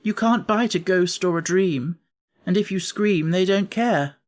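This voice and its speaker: Man playing a spoiled, frail young boy, raised pitch. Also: a little slow. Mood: dismissive.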